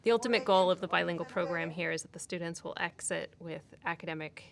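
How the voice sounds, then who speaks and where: A woman's voice: on the low side, fast talker, creaky. A woman program director speaking matter-of-factly. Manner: flat, matter-of-fact.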